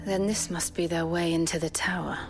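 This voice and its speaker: A woman playing a calm, watchful young adventurer in a fantasy game, in an understated way. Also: lowish voice, fairly quick, natural voice. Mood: composed. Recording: music behind.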